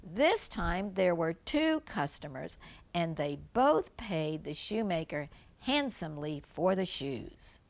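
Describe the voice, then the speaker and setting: A woman's voice: fairly low pitch, very animated, takes it slowly, vocal fry. An older woman reads a children's storybook aloud like a grandmother, adding simple, chatty explanations for a young listener.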